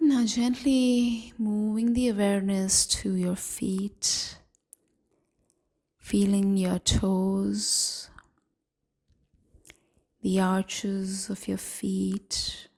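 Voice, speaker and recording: Slow, mellow woman guiding meditation. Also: mid-range voice, flat delivery. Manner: soothing, gentle, breathy half-whispered delivery with long pauses. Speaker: meditation guide. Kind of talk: guided evening body scan meditation.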